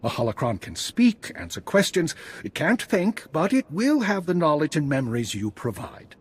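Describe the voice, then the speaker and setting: A man playing an eccentric, visionary Jedi master in a video game, guiding a student with expressive, theatrical delivery in a husky, rough-edged voice. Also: on the high side, normal speed, heavily acted. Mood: instructive, enthusiastic. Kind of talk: scripted video game dialogue.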